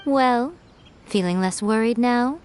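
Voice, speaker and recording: A woman voicing a sly, playful female schemer in a video game who teases in an unhurried way and chuckles often. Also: on the high side, a little mellow, soft background music.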